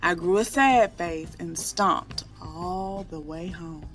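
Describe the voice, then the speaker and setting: A woman reading a picture book aloud to children in an expressive storytelling style, drawing out key words. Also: fairly low pitch, slow pace, faint music behind.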